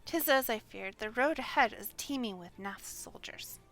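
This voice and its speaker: A woman's voice: a little high, moderate pace, fairly bright tone, soft background music. A woman voicing a grave, resolute noble lady warrior from a fantasy game, with formal, archaic and stately diction. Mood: grave, foreboding. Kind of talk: fantasy game dialogue read aloud.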